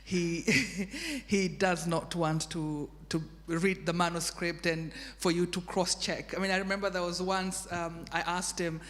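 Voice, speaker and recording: A woman's voice: fairly low pitch, a little slow. A woman from Zanzibar whose first language is Swahili, speaking English as a translator in a panel discussion, thoughtful and laughing easily, with many restarts. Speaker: literary translator. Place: festival stage in a reverberant hall. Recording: long hall reverb.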